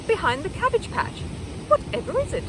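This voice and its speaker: A woman's voice: very high voice, sing-song, a little fast, background noise, voice pushed up. A woman voicing a fond, easily astonished middle-aged wife and mother in a children's storybook.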